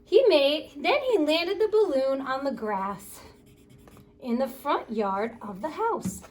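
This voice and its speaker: A woman reading a picture book aloud to children in an expressive, playful storytelling way, with chatty asides. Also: high-pitched, takes it slowly. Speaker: story time reader.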